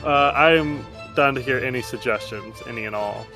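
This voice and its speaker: A man's voice: mid-range voice, normal speed. A man improvising the narration of a tabletop game as its game master. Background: soft music.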